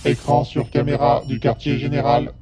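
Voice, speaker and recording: A man playing an emotionless spaceship computer in an audio drama, announcing reports in a flat robotic manner. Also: medium pitch, normal speed, music in the background, natural voice.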